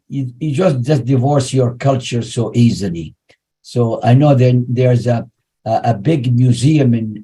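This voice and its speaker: Older man, Iraqi Arabic accent, husky mellow voice, halting speech with restarts, video call audio. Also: medium pitch. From Iraq. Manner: halting, many restarts and "you know". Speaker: guest speaker. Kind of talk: webinar talk.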